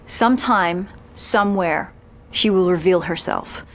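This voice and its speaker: A woman's voice: lowish voice, unhurried. Ominous, polished TV news reporter woman. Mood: ominous, dramatic. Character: serious, dramatic female TV news special reporter. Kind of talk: scripted news report narration in a game.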